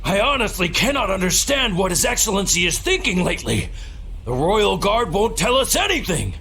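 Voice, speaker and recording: A man voicing a pompous, excitable knight officer in a video game, theatrical and formal, with some noise behind him. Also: sits high, moderate pace, heavily acted. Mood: earnest, worried.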